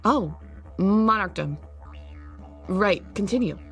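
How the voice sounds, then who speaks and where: A woman's voice: mid-range voice, expressive, slow pace, fairly bright tone, soft background music. A woman playing a practical, assertive young traveler, matter-of-fact and natural, in a science fiction audio drama. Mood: persuasive.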